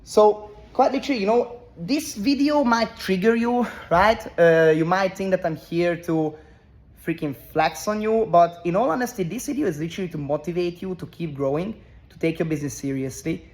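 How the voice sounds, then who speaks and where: Brash young man with a high voice. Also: moderate pace, a little mellow. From Hungary. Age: 20s. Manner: brash, repetitive, tags sentences with "right?". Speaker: online business coach. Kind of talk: motivational direct-to-camera talk. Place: rented villa abroad.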